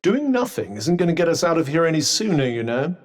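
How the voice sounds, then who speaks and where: A sardonic talking bracelet in a video game, to the core and full of dry, condescending quips. His voice sits a touch high and leaps around expressively, mocking one moment and clipped the next. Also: very animated, fairly quick. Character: sardonic talking magic bracelet, male, adult.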